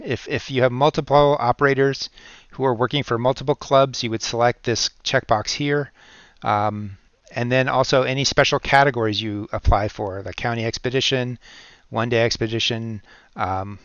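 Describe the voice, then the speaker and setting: Clear-voiced man, halting tutorial delivery, dry sound. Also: mid-range voice, a bit bright, no room sound, muffled recording. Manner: halting, explanatory. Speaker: software tutorial presenter. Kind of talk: unscripted step-by-step screen tutorial.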